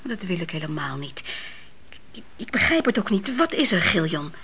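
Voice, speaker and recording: A woman playing an older widowed mother in a radio play, grieving, solemn and firm in her faith, with a husky, often hushed voice. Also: on the low side, very animated, normal speed, a little hiss or hum. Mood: wary, puzzled. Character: grieving, devout old widowed mother, stern and unyielding in faith.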